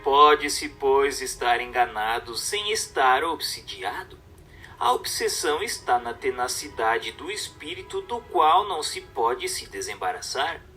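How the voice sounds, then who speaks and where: A man narrates a text in a measured, instructive manner. His mid-pitched voice is bright and forceful, projecting each phrase as a formal reading. Soft music plays behind him. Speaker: audiobook narrator. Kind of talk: scripted reading of a book.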